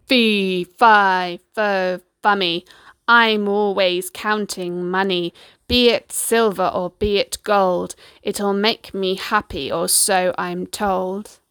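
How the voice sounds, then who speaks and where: A woman voicing a huge, lonely male giant who is gruff but kindly, speaking slowly and heavily. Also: mid-range voice, no room sound, lightly acted. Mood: chanting.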